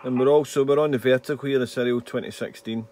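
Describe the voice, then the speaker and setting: Guy rambling casually about his radio gear, bit hesitant, medium pitch, really loud static and hiss in the room. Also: fairly even intonation, quick pace. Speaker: radio hobbyist presenter. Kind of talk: casual, unscripted radio demonstration. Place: small indoor radio desk. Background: CB radio noise.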